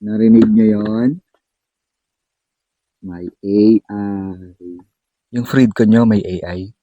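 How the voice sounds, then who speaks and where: A man's voice: fairly low pitch, fairly even intonation, slow pace, warm tone, no echo, highs cut off. A man with a Filipino accent giving a casual, informal unboxing demo as a vlogger, mixing in English slang.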